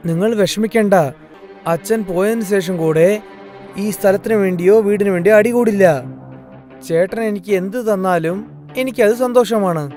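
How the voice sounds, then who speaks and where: A man's voice: sits high, mellow, close to an everyday voice. A man playing a loyal, good-natured younger brother, a humble farmer who speaks earnestly in a cartoon fairy tale. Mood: earnest, trusting. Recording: faint music under the voice.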